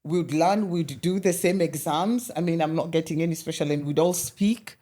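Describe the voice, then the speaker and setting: A middle-aged woman from Kenya, a guest in a conversational interview, telling animated stories with many restarts. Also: low voice, moderate pace.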